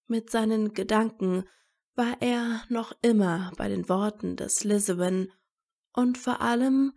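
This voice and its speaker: A woman reads a story as narrator at a slow pace. Her voice is mellow and medium in pitch, with plenty of creak.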